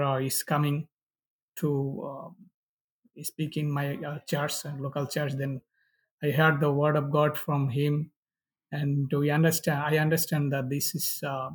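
A middle-aged man from Bangladesh with a Bangladeshi accent and Bengali as first language, giving personal testimony haltingly in non-fluent English. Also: on the high side, flat delivery, rough recording. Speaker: pastor, interview guest.

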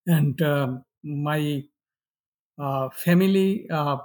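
A middle-aged man from Bangladesh with a Bangladeshi accent and Bengali as first language, giving personal testimony haltingly in non-fluent English. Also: a little high, darkish tone. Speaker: pastor, interview guest.

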